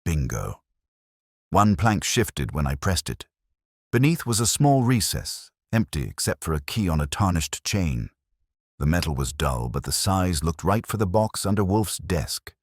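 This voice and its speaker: A man's voice: low-pitched, sing-song, unhurried, dry recording, clean studio sound. A man narrating a hardboiled first-person detective story in the manner of an audiobook.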